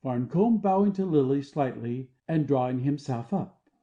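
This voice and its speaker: A man's voice: mid-range voice, expressive, moderate pace, muffled recording. A man reading stage directions as scripted narration for an audio play.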